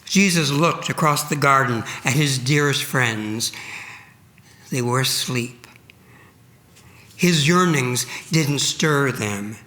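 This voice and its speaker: A man reading a dramatic sacred narrative solemnly at a church service, measured and with deliberate pauses. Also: mid-range voice, sharp tone. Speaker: reader at a church Good Friday service.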